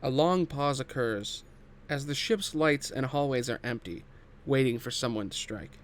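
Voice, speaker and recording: A man's voice: medium pitch, normal speed. A man narrating scripted stage directions at a table read.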